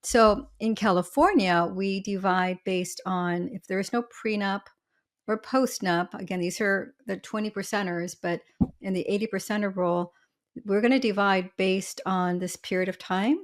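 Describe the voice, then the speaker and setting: A woman with a slightly husky voice from allergies, a family law attorney explaining the law on a podcast with chatty everyday examples. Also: mid-range voice, steady tone, normal speed.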